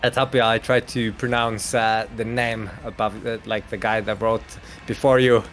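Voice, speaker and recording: A man's voice: fairly low pitch, level tone, moderate pace, a bit bright, soft background music. A man from Sweden, commentating an online hockey match in English and chatting with viewers. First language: Swedish. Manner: chatty, laughs often, reads out chat. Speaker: esports commentator. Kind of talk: play-by-play commentary.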